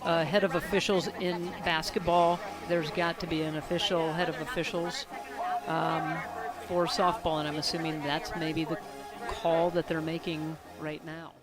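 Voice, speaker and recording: A woman's voice: deep voice, level tone, normal speed. A woman doing live sports commentary in a tentative way, thinking aloud as she goes. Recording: live sports broadcast with music behind.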